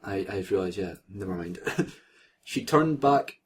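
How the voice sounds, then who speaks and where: A man's voice: deep voice, animated, measured pace, a little hiss or hum. A man reading fan fiction aloud for comedy, breaking off into sarcastic, sweary, giggly banter. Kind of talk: mocking comedic read-aloud with casual banter.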